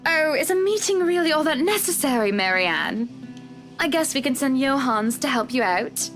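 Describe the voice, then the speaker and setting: A woman's voice: high voice, expressive, moderate pace, a bit bright. A woman playing a wealthy, breezy, imperious socialite wife in a visual novel game, with a polished, airy delivery. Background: background music.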